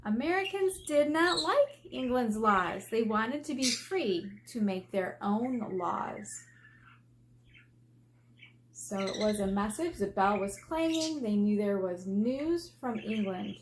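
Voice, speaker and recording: Female elementary teacher, unhurried read-aloud for young kids, expressive with stressed key words, mid-pitched, slight background noise. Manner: expressive, asking the listeners questions, emphatic drawn-out key words. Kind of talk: read-aloud lesson for children.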